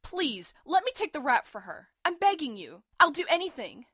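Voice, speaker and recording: An actress in an audio drama playing a defiant, sarcastic, fiercely protective young medical student, blunt and emotionally intense. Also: female voice, high voice, very animated, moderate pace, a bit bright, no echo, clean studio sound. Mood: pleading. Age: young adult.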